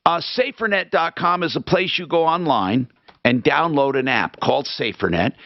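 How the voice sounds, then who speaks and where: Lively-voiced male radio host. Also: mid-range voice, no echo, muffled recording. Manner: brisk salesman-like ad reads. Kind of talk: talk radio with live reads.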